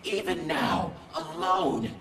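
A woman playing a vengeful, grieving alien war goddess in a video game, delivering a grandiose, theatrical monologue in a rasping voice. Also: sits low, expressive, background noise, full character voice. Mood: dark resolve. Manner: grandiose, slow, theatrical, swinging from whispers to shouts. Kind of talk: dramatic game audio-log monologue. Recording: echoey, distant voice with music underneath.

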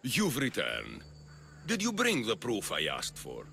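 An actor playing a guarded, shrewd spymaster in a video game, measured and wary in manner. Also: male voice, lowish voice, wildly swooping pitch, gravelly, a crowd in the background, exaggerated voice. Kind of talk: video game dialogue acting.

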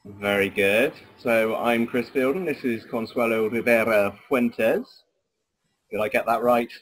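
A man's voice: mid-range voice, steady tone, unhurried, a bit bright. Man hosting a video-call interview. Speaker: interview host. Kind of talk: conversational interview. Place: home room.

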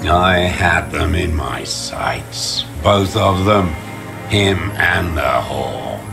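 An actor playing a bitter, contemptuous old deserter in a video game, gravelly and muttering with scorn. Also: male voice, low voice, drawn-out words, heavily acted. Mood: seething, accusing. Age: older. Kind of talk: dramatic video game voice acting. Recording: music under the voice. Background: background music.

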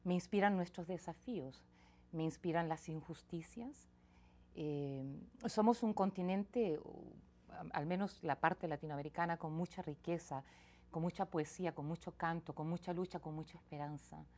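A woman's voice: fairly low pitch, normal speed. A woman, a singer-songwriter speaking reflectively as a guest in a small TV studio interview. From Chile. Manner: reflective, soft-spoken, with frequent restarts. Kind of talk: casual interview conversation. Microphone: lapel mic.

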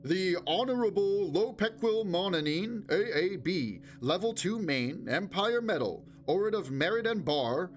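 A pompous herald calling out names at a grand ball, as a male reader puts him on. His delivery is formal and drawn out, pitched above the reader's normal voice, with faint music behind. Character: pompous male herald announcing guests in a vast hall.

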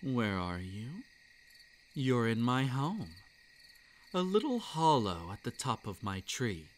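A man voicing a furry male forest creature with a tail in an audio roleplay, talking in a slow, hushed, coaxing way. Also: medium pitch, very animated, slight background noise. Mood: soothing.

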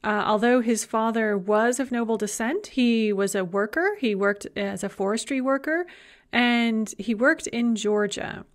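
A woman's voice: on the high side, a little slow. A middle-aged woman giving a recorded university lecture in a conversational way, with frequent filler sounds.

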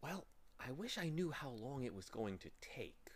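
A man's voice: fairly high pitch, wildly swooping pitch, slow, voice pushed up, heavily acted. A man voicing a polite, earnest young boy from a storybook, speaking hesitantly and questioningly. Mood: restless, wondering. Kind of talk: storybook character voice.

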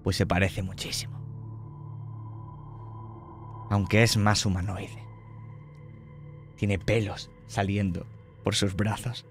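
Deep-voiced Spanish game master narrating horror slowly and dramatically, with faint music. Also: male voice, little pitch movement. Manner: slow, descriptive and dramatic.

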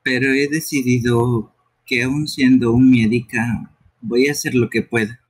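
A man's voice: mid-range voice, fairly even intonation, unhurried, breathy edge, rough recording. A man voicing a timid, earnest young fox-like creature in a live amateur game dub. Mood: apologetic. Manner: earnest, apologetic.

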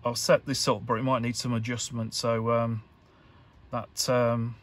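A man giving an informal hands-on tutorial, talking in a halting, hesitant way as he thinks aloud. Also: mid-range voice, flat delivery. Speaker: electronics hobbyist presenter.

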